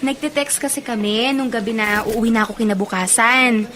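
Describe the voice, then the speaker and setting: Actress doing a young filipino college girl, high voice, friendly n teasing, radio drama style. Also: a little fast, a crowd in the background, lightly acted. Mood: playful, teasing. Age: young adult.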